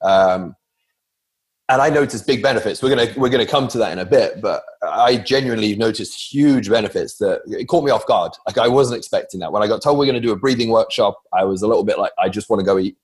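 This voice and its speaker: A man hosting a podcast interview, relaxed and rambling with many fillers. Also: on the low side, quick pace. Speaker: podcast host.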